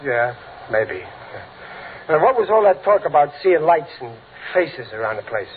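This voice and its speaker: A man's voice: fairly high pitch, very animated, moderate pace. An American actor playing a nervous, blustering middle-aged father who stammers and hesitates, in 1940s radio comedy style. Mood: uneasy. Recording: scratchy, noisy, narrow-band old radio recording.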